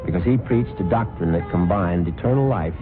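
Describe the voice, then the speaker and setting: A man's voice: low voice, brisk, natural voice. An actor playing a wry husband who narrates his own story with a sardonic manner, in an old radio drama. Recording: phone-like old radio broadcast with loud music.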